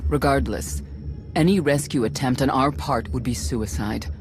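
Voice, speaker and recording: An extremely low female voice for a stern, cautious strategist. She speaks formally and grimly in a game cutscene over clear music. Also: normal speed. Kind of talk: video game cutscene acting. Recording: prominent music behind the dialogue.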